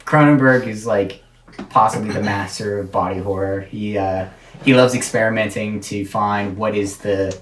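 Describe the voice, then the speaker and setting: A man's voice: fairly low pitch, fairly even intonation, normal speed, distant mic. American man hosting in an echoey room. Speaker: talk show host. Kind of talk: casual comedic talk show chat. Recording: echoey room, off-mic, faint noise.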